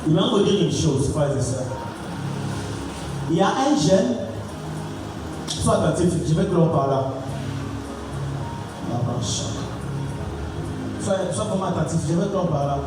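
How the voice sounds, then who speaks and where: High-voiced young preacher in an echoey church. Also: male voice, lively intonation, slow, long pauses. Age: young adult. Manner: repetitive exhorting, call-and-response. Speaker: youth preacher. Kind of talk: sermon. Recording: echoey hall from far off, music behind.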